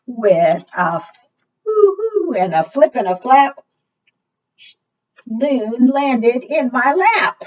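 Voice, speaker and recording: A woman's voice: sits high, very animated, slow, long pauses, a little mellow. Distant-sounding woman reading to preschoolers. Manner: asks the young listeners questions. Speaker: children's storytime librarian. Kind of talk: expressive read-aloud storytelling to preschoolers. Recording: distant, dull lo-fi microphone sound.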